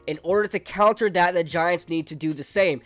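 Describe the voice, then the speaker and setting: A man's voice: high-pitched, a little fast, soft background music. Chatty young man, stuffy from a cold. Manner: chatty, fan-like, frequent fillers. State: congested from a cold. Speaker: sports channel host. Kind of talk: casual football preview talk to camera.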